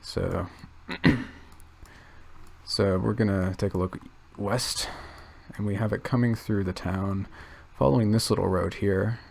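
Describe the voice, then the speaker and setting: A man quietly thinking aloud in a gravelly low register, rambling with many restarts and fillers. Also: steady tone, measured pace. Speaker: channel host. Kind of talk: casual rambling commentary.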